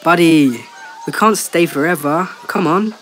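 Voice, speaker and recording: A man's voice: fairly high pitch, measured pace, a little hiss or hum, pitched above the performer's own voice. A man voicing a protective, anxious parent of a young boy in a horror story, pleading and insistent. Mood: firm, pleading. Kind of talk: acted dialogue in a horror story. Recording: loud music under the voice. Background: loud guitar music.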